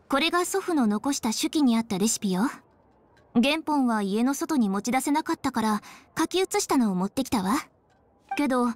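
A woman voicing a confident, practical young noblewoman in a video game, with a brisk, matter-of-fact and feminine way of talking. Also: high voice. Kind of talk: Japanese video game voice acting.

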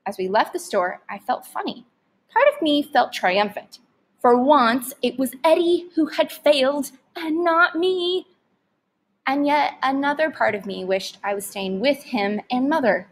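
A woman narrating a story aloud in an expressive style with deliberate, emphatic phrasing. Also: high voice.

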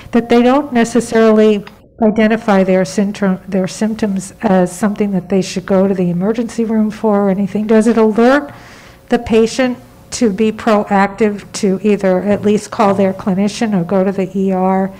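A woman patient advocate asking measured, inquisitive questions in a meeting. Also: mid-range voice, dark tone, poor audio.

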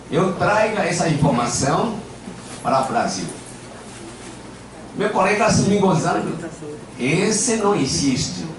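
Older guy w japanese accent lecturing, pitch jumps around a lot, big echoey hall, mic heard from far off. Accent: Japanese-accented Portuguese. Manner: playful, self-deprecating storyteller. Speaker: acupuncture lecturer. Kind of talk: informal lecture with anecdotes. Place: large lecture hall with audience. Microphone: handheld microphone. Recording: echoey hall, camera at a distance, background noise.

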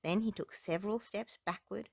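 A woman's voice: mid-range voice, normal speed, vocal fry, husky, dry recording. A woman narrating children's stories and rhymes aloud as a storybook reader, recorded close up indoors.

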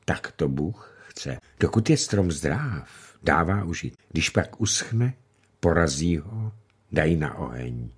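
A man reading the part of a gentle, wise old grandmother in an audiobook, speaking slowly and softly with a husky voice. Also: a bass voice, very animated, voice pushed down, exaggerated voice. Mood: calm, tender. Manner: slow, soft-spoken, unhurried.

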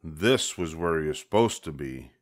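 A man's voice: rumbling low, expressive, normal speed. An older man reading a children's picture book aloud, expressively, leaning on key words. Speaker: storybook reader.

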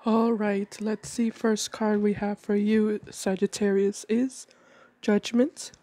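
A woman's voice: mid-range voice, level tone, slow, mellow. Woman with a cold, reading tarot. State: has a cold. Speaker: tarot reader. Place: indoors at a wooden table.

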